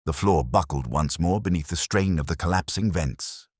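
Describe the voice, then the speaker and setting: Very deep-voiced dramatic male narrator. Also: normal speed, husky, no room sound, studio quality. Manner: dramatic, grave, suspenseful. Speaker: story narrator. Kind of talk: scripted first-person mystery narration.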